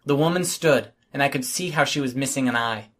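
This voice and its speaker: A man's voice: medium pitch, brisk, a bit bright. A man reading scripted first-person fiction as an audiobook narrator.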